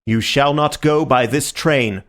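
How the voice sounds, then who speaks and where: A man voicing a resolute young man who speaks firmly and slowly. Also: mid-range voice, fairly bright tone, pitched above the performer's own voice, close to an everyday voice. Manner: firm, slow.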